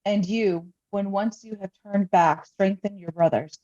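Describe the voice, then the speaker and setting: A woman reads scripture as a pastor would, in a solemn liturgical style with measured, deliberate phrasing. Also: medium pitch, steady tone, dark tone, dull audio.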